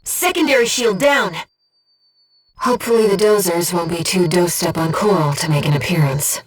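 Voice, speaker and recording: A woman playing a gentle, disembodied female mission operator in a video game, earnest and composed, with clearly enunciated tactical callouts. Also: lowish voice, normal speed, far from the mic, natural voice. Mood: composed, focused. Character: gentle disembodied female voice guiding a mercenary pilot.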